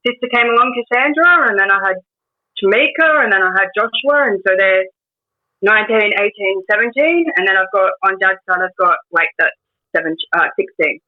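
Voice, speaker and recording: A woman in her twenties with an Australian accent, a guest telling her life story in a casual interview, hesitant with many pauses and restarts. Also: mid-range voice. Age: 20s. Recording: tinny phone-like line.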